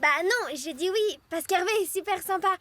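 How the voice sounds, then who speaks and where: Very high-voiced sensible schoolgirl. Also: a little fast. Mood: reasoning. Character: bright, sensible schoolgirl, bossy but caring big sister. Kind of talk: animated cartoon dubbing.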